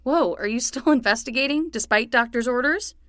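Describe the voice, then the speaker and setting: Casting: woman as teen girl sitter, slightly high voice, playful and teasing, dry audio drama sound. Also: fairly quick, fairly bright tone, smooth, no echo, close to an everyday voice. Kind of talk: fiction podcast acting.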